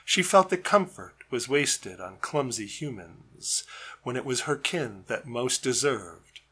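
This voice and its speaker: A man reading his own narrative poem as a storyteller, with measured, deliberate phrasing. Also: medium pitch, sing-song, bright, forceful tone, breathy edge. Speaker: poet reading own work.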